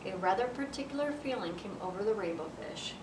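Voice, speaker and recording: A woman's voice: animated, brisk. American woman storyteller, roomy distant sound. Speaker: children's library storyteller. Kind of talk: reading a picture book aloud to children. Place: library children's area, indoors. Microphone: camera a few feet away. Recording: roomy, distant mic with noisy rumble.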